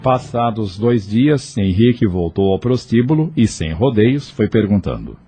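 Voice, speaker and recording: Male audiobook-style narrator, lowish and mellow, scripted storytelling over music. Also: big pitch swings, a little slow.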